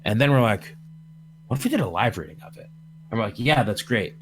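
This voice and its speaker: A man's voice: on the low side, lively intonation, a little fast, slight background noise. A man hosting a livestream podcast over computer voice chat, chatting casually in a rambling, jokey way. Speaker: podcast host.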